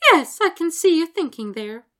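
A woman voicing a bright, encouraging witch. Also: very high voice, very animated, normal speed, pitched above the performer's own voice, exaggerated voice. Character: friendly encouraging adult witch.

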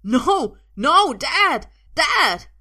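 A woman's voice: low voice, lively intonation, moderate pace, fairly bright tone. A scared young boy from a storybook, played by a woman reader. He sounds vulnerable and shaky, pleading with the adults around him. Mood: panicked. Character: frightened young boy, son of a stern father.

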